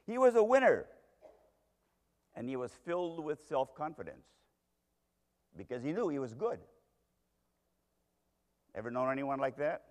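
Animated man preaching in an echoing hall. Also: fairly high pitch. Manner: dramatic, emphatic storytelling preaching. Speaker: pastor. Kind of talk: sermon. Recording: long hall reverb.

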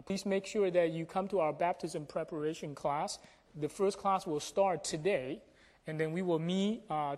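A pastor giving announcements and a sermon to a congregation in a measured, explanatory way, with check-in questions to the listeners. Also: medium pitch, darkish tone. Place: church sanctuary during a service. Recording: reverberant hall sound.

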